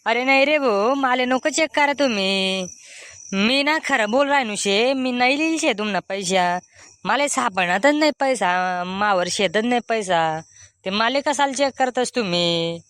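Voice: medium pitch, animated, a little slow, fairly bright tone, clear, resonant tone, no room sound. A performer voicing a boastful, defensive village man in a comedy cartoon, with a Khandeshi Ahirani accent.

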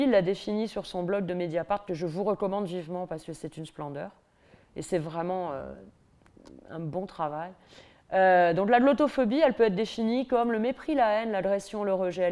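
Middle-aged Parisian-accented woman lecturer; lively, ironic delivery at a lectern. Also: medium pitch, normal speed. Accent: Parisian French. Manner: lively, ironic, mimics attitudes with asides. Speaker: university lecturer. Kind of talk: public university lecture. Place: large lecture hall, at a lectern on stage.

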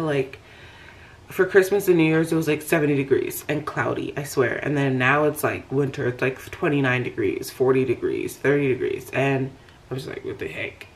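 A woman's voice: deep voice, a little hiss or hum. A young woman, chatting casually to camera at home in a rambling vlog, with lots of fillers. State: slightly nasal, a bit congested. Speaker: vlogger. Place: living room and kitchen at home. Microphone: handheld camera at arm's length.

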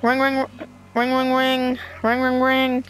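An excitable, dramatic young player improvising a roleplay while playing a video game. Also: high-pitched, slow, slight background noise.

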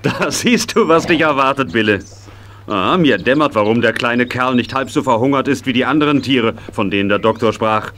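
An actor playing a kindly, amused horse owner, jovial and teasing, in a children's radio play. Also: male voice, medium pitch, moderate pace, a bit bright, a little hiss or hum, lightly acted.

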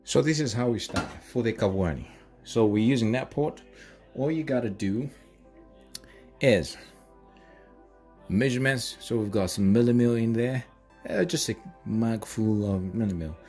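A Zambian-accented man in his thirties walks viewers through a recipe in a small home kitchen. He sounds chatty and encouraging, peppering his steps with fillers and little "mm?" checks. Music plays clearly behind him. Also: medium pitch, unhurried, darkish tone. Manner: chatty and encouraging, many fillers and questioning "mm?" tags. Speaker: cooking channel host. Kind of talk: home cooking demonstration.

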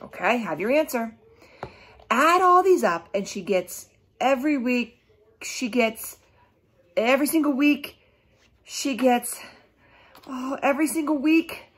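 A middle-aged woman reads a storybook to children. Her voice is fairly high and husky, and she takes her time, emphasising words and putting questions to the listeners. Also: animated, a little slow, long pauses. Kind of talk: children's read-aloud with asides. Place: small room at home, indoors.

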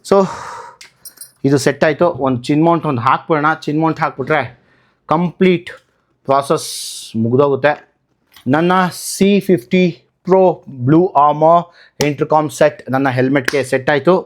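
A man's voice: on the high side, normal speed. A man from Karnataka, India, talking casually as a vlogger through a hands-on tutorial, explaining by posing questions and answering them. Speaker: motovlogger, channel host.

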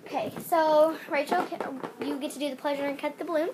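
A woman's voice: high-pitched, fairly even intonation, a little fast. A girl of about eleven chatting casually as she hosts a homemade challenge video. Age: child, about 10-12. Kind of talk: casual kids' vlog chat. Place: small bedroom at home. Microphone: phone or tablet camera nearby. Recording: noisy home phone recording.

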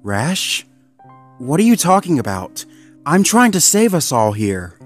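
A man's voice: on the high side, sing-song, a little slow, faint music behind, voice pushed up. A man voicing a determined young male Labrador dog who talks in an intense, resolute way. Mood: defensive.

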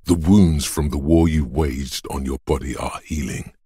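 An extremely low, husky voice, speaks as the grave inner voice of a battered detective's body in a video game. The delivery is measured and stoic, with a heavy gravelly rasp. Each line lands deliberately, in scripted second-person narration. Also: male voice, fairly even intonation, mellow, dry recording, clean studio sound, full character voice. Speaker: voice actor.

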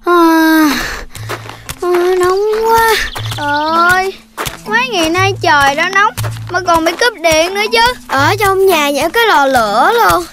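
An actor voicing a cheeky, quick-witted young puppy child in a children's cartoon, exclamatory and whiny, with music behind. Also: very high-pitched, animated. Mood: grumbling. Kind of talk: animated children's cartoon acting. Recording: music under the voice.

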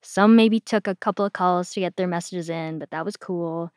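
A woman's voice: on the low side, moderate pace, darkish tone, clean tone, dry recording, clean studio sound. A woman hosting a podcast, talking in a friendly conversational way. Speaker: podcast host.

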